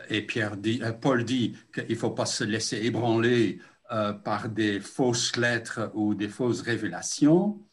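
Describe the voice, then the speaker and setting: Slow, hesitant older male preacher. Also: medium pitch. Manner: slow, deliberate, with frequent hesitations. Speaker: pastor. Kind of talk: sermon.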